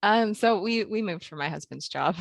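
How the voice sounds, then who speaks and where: A woman from Pennsylvania, a podcast guest, explaining her work in a measured way with soft laughs. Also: medium pitch, animated, gravelly, no room sound. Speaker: podcast guest, food project founder. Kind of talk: interview conversation.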